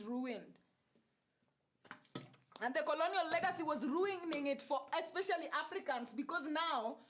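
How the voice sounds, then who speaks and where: High-voiced Kenyan woman on a livestream. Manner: repetitive, emphatic, switches into Swahili and Luo phrases. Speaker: livestream host. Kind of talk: casual spiritual talk to live viewers.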